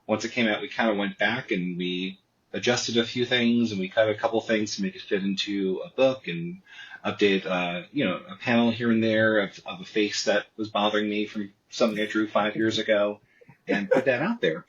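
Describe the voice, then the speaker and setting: Man, comic artist guest, lowish voice, dull lo-fi remote audio, repeats words before getting going. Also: normal speed. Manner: repetitive restarts, animated storytelling. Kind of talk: casual interview talk.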